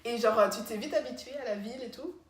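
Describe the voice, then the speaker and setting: A young woman hosting a casual vlog chat, relaxed and playful. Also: on the high side, fast talker, reverberant, crowd noise. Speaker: vlogger, host of the channel.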